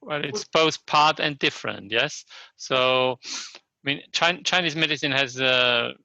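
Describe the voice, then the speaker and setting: An older man from Germany with a German accent, answering interview questions reflectively and haltingly, often restarting and checking in with "yes?". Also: mid-range voice, gravelly, pushed and bright, no room sound, rough recording. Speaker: interview guest, Chinese medicine practitioner and scholar.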